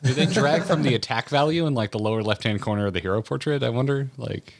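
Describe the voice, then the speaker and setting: A man's voice: medium pitch, fast, no echo. A man hosting a casual interview podcast, speaking in a thoughtful, roundabout way. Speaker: podcast host.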